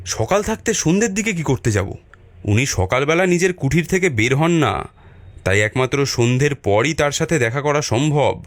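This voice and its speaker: A man playing an earnest, respectful villager in an animated horror story. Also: on the high side, normal speed, natural voice. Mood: concerned.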